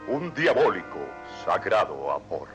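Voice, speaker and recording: A man's voice: deep voice, very animated, a little slow, lo-fi sound, loud music behind the voice. A man narrating a radio serial in a melodramatic style.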